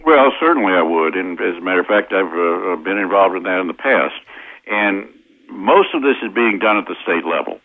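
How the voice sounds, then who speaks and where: An American man explaining his points methodically as an interview guest, with hesitant pauses, over a tinny phone line. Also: sits low, expressive. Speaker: interview guest, constitutional lawyer. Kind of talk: explanatory radio interview answers.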